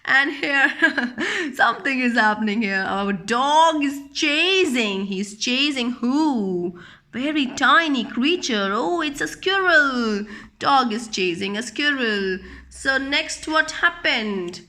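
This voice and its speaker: A woman's voice: sits high, expressive, takes it slowly, bright, forceful tone. A woman reading a picture book aloud to young children, explaining it simply with questions and repetition. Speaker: children's storyteller.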